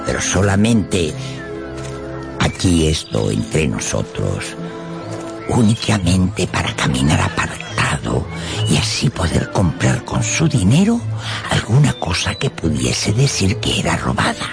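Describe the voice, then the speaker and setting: An actor playing a dramatic radio narrator of an old tale, given to confiding asides to the listener. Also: male voice, low-pitched, very animated, slow pace, gravelly, full character voice. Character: animated male storyteller of an old tale. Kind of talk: theatrical radio storytelling narration. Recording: music behind the voice. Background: music.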